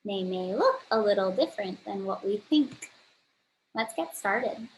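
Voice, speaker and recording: Female early-grades teacher, slow and very animated, emphatic, explaining simply, faint noise behind. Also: mid-range voice, rough recording. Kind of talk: read-aloud lesson for young children.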